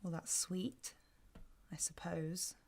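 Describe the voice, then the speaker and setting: Actress as sardonic British woman, creaky low voice. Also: steady tone, takes it slowly, a little mellow, in a whisper, heavily acted. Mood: wry, hurt. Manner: dry, sarcastic, probing. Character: sardonic, wary bereaved woman on a first date. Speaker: actor in a sci-fi audio drama. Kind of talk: naturalistic audio drama dialogue.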